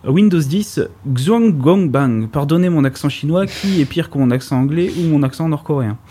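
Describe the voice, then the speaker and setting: A man's voice: fairly high pitch, expressive, moderate pace, warm tone, slightly rough. A man reading a prepared tech segment on a radio show, dropping dry, ironic asides.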